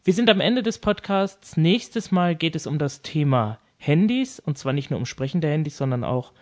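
A man's voice: fairly high pitch, expressive, moderate pace, a little mellow. A man hosting a podcast, putting questions and giving announcements in a polite, orderly way. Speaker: podcast host, interviewer.

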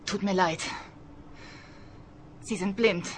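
Casting: actress as young female student for crime audio drama. Medium pitch, cheeky, curious, outspoken, over noisy ambience. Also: takes it slowly, close to an everyday voice. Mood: flustered, curious. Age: young adult. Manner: inquisitive, blunt, teasing. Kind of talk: German crime radio play acting.

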